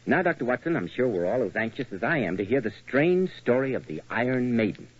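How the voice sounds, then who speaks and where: A man announcing a 1940s radio show, with a smooth and persuasive delivery. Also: mid-range voice, big pitch swings, a little fast. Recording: dull old radio broadcast.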